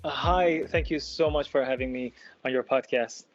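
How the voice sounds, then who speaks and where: A man from Iran telling his own story as a podcast guest, in a halting, thoughtful way. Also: mid-range voice, soft background music. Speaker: podcast guest, product designer. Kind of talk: personal storytelling in an interview. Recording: dull lo-fi close dry sound.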